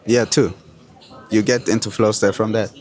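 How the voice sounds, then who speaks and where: A man's voice: lowish voice, steady tone, normal speed, darkish tone. A man from Kurdistan who hosts the show as a hypnotist, talking to camera with boastful bragging and profane street slang. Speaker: hypnotist and host. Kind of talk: brash motivational talk to camera.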